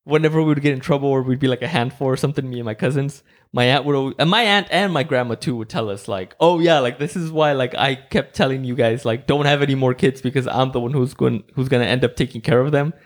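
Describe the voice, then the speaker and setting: A man's voice: mid-range voice, fast. Rambling young man, casual chat. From Mexico. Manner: rambling, heavy use of "like", animated quoted voices. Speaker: podcast host.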